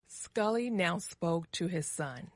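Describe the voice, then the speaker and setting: A woman narrating a scripted story for English learners, measured and carefully articulated. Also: on the low side, animated, no room sound.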